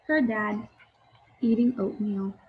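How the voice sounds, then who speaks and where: A woman's voice: medium pitch, steady tone, slow, phone-quality sound. A woman teacher reading a storybook aloud and explaining it simply to young children. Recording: faint background noise.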